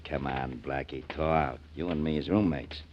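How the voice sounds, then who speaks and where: A streetwise, needling tough-guy prisoner in old radio crime drama. His low voice taunts in coarse slang and simmers with resentment, over the light noise of a 1940s recording. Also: moderate pace, muffled recording.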